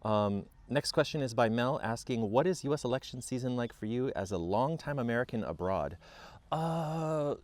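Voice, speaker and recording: A man's voice: medium pitch, expressive, moderate pace. A man in his late thirties who grew up in Japan, chatting casually outdoors as he answers viewer questions, with many ums and restarts. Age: 30s. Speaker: vlogger. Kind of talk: casual Q&A chat.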